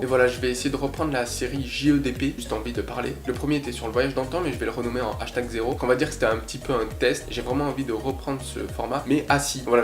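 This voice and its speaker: A young man with a cold chatting casually to camera in his bedroom, rambling with lots of fillers, recorded on a cheap desk mic. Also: mid-range voice. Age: young adult. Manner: rambling, filler-heavy, self-deprecating. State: congested with a cold. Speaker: YouTuber. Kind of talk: casual vlog chat. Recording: echoey room with background music.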